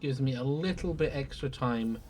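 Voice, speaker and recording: A man's voice: mid-range voice, normal speed, a little mellow, a little hiss or hum. A man giving casual live game commentary as a streamer, with a dry, self-deprecating, sweary manner.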